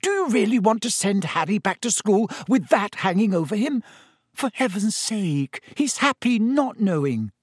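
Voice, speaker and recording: A man voicing a brisk, scolding, fussing mother of a big family in a storybook. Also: high-pitched, very animated, no room sound, studio quality, voice pushed up. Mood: shrill, anxious.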